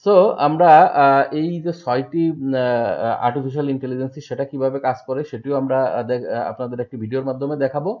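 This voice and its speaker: Hesitant Bangladeshi man, dull lo-fi sound. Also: mid-range voice. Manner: halting, hesitant delivery with English words mixed in. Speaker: product presenter. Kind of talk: unscripted product introduction.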